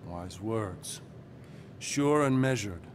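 A man's voice: fairly low pitch, expressive, drawn-out words, slight background noise. A man playing a proud, brooding cosmic warrior in a video game, speaking in ornate, archaic, alliterative phrases. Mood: grave, solemn. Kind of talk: theatrical video game acting.

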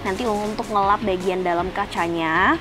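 A young woman with a Jakarta accent talks viewers through a hands-on task in a chatty, slangy manner, dropping frequent "eh" fillers. Her voice sits at a middling pitch and is recorded outdoors on a clip-on mic. Background music plays clearly underneath her. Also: fairly even intonation, moderate pace. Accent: Jakarta Indonesian. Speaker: car channel presenter. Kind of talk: casual how-to presenting to camera. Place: outdoors by a car in a residential driveway. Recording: music playing behind the voice.

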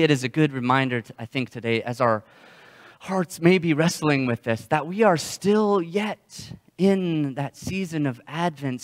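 A young adult man gives a sermon in a slightly high-pitched voice. His delivery is halting, with words repeated as he moves through his stories. Long reverb from a hall surrounds the voice. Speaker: pastor. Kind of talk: sermon with storytelling. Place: church sanctuary, indoors.

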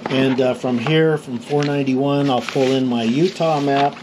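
A middle-aged man from New Mexico calmly explains road maps for motorcycle trip planning, rambling with fillers and restarts. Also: medium pitch, normal speed, background noise. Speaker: motorcycle vlogger.

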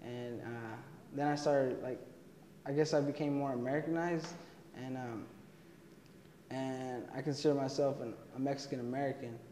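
A young college man from Texas chats through his answers, full of fillers and restarts. His voice is warm and mellow. The room rings with a long, echoey reverb. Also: medium pitch, normal speed, slight background noise. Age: young adult. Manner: relaxed, many fillers and restarts. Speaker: college student interviewee. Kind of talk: casual interview answers. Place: indoor room, plain wall.

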